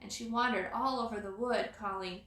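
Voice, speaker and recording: A woman reading a children's storybook aloud as storyteller, in an expressive, dramatizing manner. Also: on the high side, a little fast. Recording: roomy echo.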